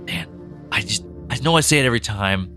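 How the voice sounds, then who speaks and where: Man, low voice, casual podcast co-host, rambling, soft music behind. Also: animated, normal speed. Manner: rambling, restarts often, laughs easily. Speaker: co-host and writer.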